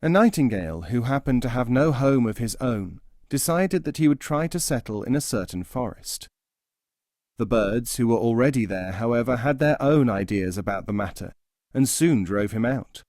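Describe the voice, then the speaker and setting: A man's voice: mid-range voice, animated, normal speed, darkish tone, no room sound, studio quality. A man narrating traditional tales as a scripted audiobook reading.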